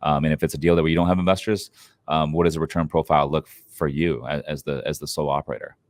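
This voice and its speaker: A man with a very deep voice talks as an interview guest on an investing podcast. He is informal and emphatic, offering advice with some slang, and the sound is dry and close to the room-free. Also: steady tone, quick pace, no echo. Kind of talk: conversational interview answers on investing.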